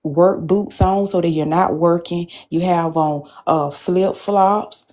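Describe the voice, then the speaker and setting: A woman's voice: lowish voice, steady tone, slow, a little mellow. A woman, arguing bluntly on a video call and repeating her demands. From Southern US, Georgia. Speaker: debate panelist. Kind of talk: heated online debate.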